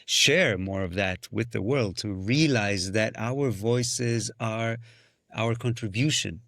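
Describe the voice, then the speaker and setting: A man's voice: fairly low pitch, slow pace, vocal fry, a bit bright, no room sound. Reflective man, Hebrew native, dry close sound. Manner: searching, thoughtful, restarts phrases, breaks into vocal sounds. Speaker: musician and singing-circle facilitator. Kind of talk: reflective podcast conversation.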